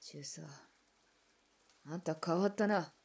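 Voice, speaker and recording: A woman's voice: slow, darkish tone, a little hiss or hum. A woman playing a defiant Russian ex-officer in a radio drama, blunt and challenging. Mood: subdued. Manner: blunt, challenging, low and clipped. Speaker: former Russian imperial soldier. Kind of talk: hobby radio drama acting.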